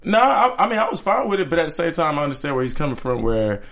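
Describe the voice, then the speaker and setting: A woman's voice: deep voice, very animated, fast talker, a little hiss or hum. A woman co-hosting a casual chat, easygoing, with short agreeable interjections. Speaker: podcast co-host.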